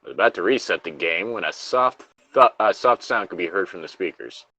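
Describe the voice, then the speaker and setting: A man's voice: deep voice, animated, a little fast, sharp tone, slightly rough, rough recording. A man reading horror stories as a co-host, with casual, sardonic, sweary asides. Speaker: co-host reading video game creepypastas.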